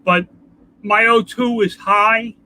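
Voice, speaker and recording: Older man, bright and congested. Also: high voice, steady tone, a little hiss or hum, low-quality recording. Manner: rambling, halting with restarts. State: congested, nasal. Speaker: vlogger. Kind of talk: casual home video update. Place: outdoors.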